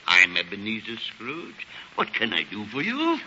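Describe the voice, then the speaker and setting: A man's voice: on the low side, huge pitch swings, unhurried, sharp tone, exaggerated voice. An older man acting a bitter, scornful old miser who grumbles and snaps in a 1940s radio drama. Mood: cold, grumbling. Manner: grumbling, blustering, snapping. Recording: muffled, hissy 1940s radio broadcast.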